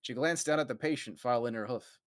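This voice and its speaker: A man narrates a story in a very dry, close recording, with an average-pitched voice. He reads as the narrator of a casual group reading and slips in amused asides to his friends. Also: fast talker, dry recording.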